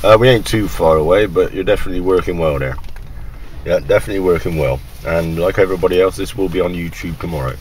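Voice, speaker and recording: A man's voice: low voice, quick pace, a little hiss or hum, poor audio. A man making casual amateur radio contacts, working down a list in radio jargon. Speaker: radio operator, video maker.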